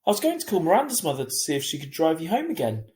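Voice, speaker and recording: Man as a worried, fussing mother, pitched up. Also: huge pitch swings, racing, a little mellow, reverberant. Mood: worried, pressing. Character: worried, protective mother of a teenage girl.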